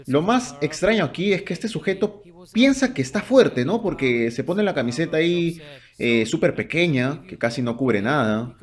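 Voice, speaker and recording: A man's voice: a little high, lively intonation, moderate pace. Man commenting on gym videos, mocking. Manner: mocking, incredulous, chatty asides to viewers. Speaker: fitness YouTuber reacting to videos. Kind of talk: casual reaction commentary. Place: home office with bookshelves, indoors. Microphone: desk mic on arm, wearing earphones.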